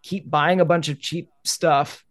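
A man's voice: on the high side, steady tone, a little slow, dry recording, studio quality. A young man chatting casually as a guest, rambling with many "like"s. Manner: rambling, heavy use of "like". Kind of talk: casual conversation.

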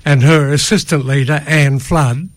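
An older man speaks as a studio guest, delivering a prepared statement with formal, careful wording. His pace is very slow and his voice is a little high for a man. The sound is close and dry. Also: steady tone, no room sound. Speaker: choir member, interview guest. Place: radio studio. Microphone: studio microphone.

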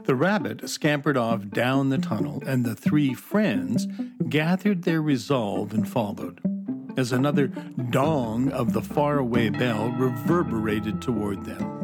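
Slow, measured male storybook narrator. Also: medium pitch, lively intonation, loud music behind the voice. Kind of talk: scripted children's story narration.

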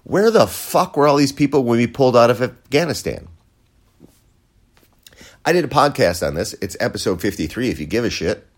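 A man's voice: mid-range voice, lively intonation, normal speed. An American man hosting a podcast, delivering a sarcastic, profane opinion rant. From United States. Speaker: podcast host. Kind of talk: opinion monologue.

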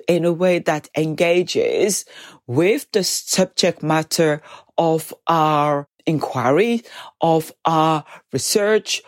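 A woman hosting a reflective solo podcast, speaking slowly and thoughtfully, her voice stuffy from a cold. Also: low-pitched, no room sound, studio quality. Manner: slow, measured, thoughtful delivery. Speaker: podcast host.

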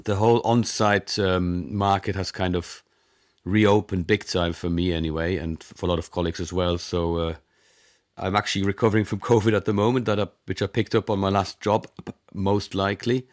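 Low-voiced German man, dry sound. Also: flat delivery, normal speed, no echo. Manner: hesitant, many ums and restarts. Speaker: guest, conference interpreter. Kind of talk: conversational interview.

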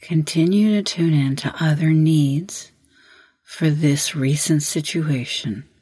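A woman leading a guided meditation as host, giving measured, instructional prompts with long pauses. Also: sits low, mellow, slightly rough, no echo.

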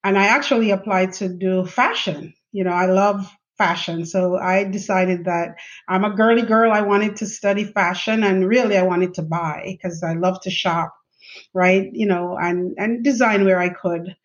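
A middle-aged woman, a podcast guest who tells her career story in a chatty, animated way, with many fillers and laughs. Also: medium pitch, moderate pace. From Jamaica. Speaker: guest, chief information officer. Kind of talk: conversational interview storytelling. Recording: slightly dull lo-fi audio.

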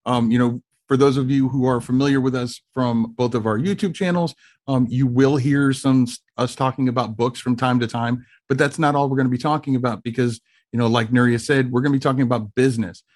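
A man's voice: medium pitch, steady tone, a little fast, a little mellow. A man co-hosting a podcast, upbeat and casual, asking questions with plenty of ums. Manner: upbeat, leads with questions, says um a lot. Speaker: podcast co-host. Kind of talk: casual conversational podcast chat.

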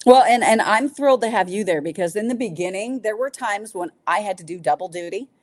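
A woman's voice: medium pitch, expressive, fairly quick, dry recording. Enthusiastic woman chatting casually, dry close sound. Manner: enthusiastic, informal. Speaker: sports public address announcer, podcast guest. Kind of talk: casual podcast chat.

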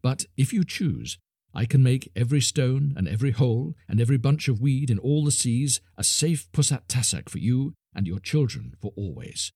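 A man voicing a stern ancient male magician in a storybook reading, speaking in slow, grave pronouncements. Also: big pitch swings, warm tone, husky, no room sound, clean studio sound. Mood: solemn. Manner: slow, low and solemn pronouncements.

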